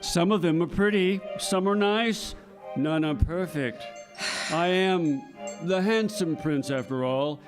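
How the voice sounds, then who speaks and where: A man's voice: high-pitched, slow, over music. A man playing a vain, self-absorbed young prince in a children's audio play, pompous and entitled. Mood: pompous, choosy. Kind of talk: children's audio theater acting.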